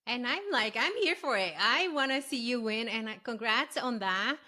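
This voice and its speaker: A woman's voice: fairly high pitch, lively intonation, normal speed, pushed and bright. A woman hosts a podcast interview. She welcomes her guest and asks questions in a casual, enthusiastic way. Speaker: podcast host.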